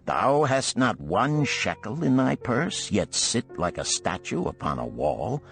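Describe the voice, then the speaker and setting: Man voicing a lively, flowery ancient musician, higher-pitched. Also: a little slow, gravelly, soft background music, heavily acted. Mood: surprised, concerned. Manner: elaborate, archaic, theatrical speech. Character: sociable, flowery male musician friend in ancient Babylon. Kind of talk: audiobook character voice.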